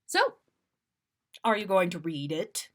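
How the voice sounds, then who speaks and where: A woman's voice: fairly low pitch, big pitch swings, takes it slowly, a bit bright, exaggerated voice. A woman voicing an eager, mischievous grown man who wheedles and plays innocent in a story.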